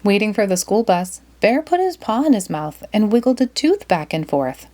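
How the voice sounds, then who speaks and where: Female storyteller for children's read-aloud: expressive, deliberate phrasing, very lively intonation. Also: mid-range voice. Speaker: storyteller reading a picture book aloud.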